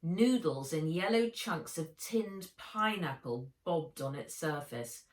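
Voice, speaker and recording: A woman reading her own children's novel aloud as narrator, in measured, expressive storytelling. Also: low-pitched. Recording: roomy echo, distant microphone.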